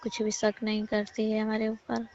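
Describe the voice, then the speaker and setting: A woman talks casually on a private phone call. Her voice sits at an average pitch with very little melodic movement, and the call recording sounds dull and lo-fi. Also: monotone, moderate pace, darkish tone.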